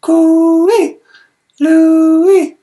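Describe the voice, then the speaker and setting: A man's voice: extremely high pitch, flat delivery, very slow, mellow, pitched above the performer's own voice, heavily acted. A man voicing an eager, earnest young boy from a picture book, insistent and calling out. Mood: loud calling.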